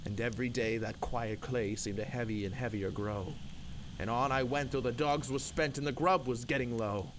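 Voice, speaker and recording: Male poetry reader, mid-pitched, theatrical and emphatic storytelling in rhythm, with clear background noise. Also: steady tone, moderate pace. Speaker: poem reader. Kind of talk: dramatic narrative poetry recitation. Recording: loud background noise and ambience.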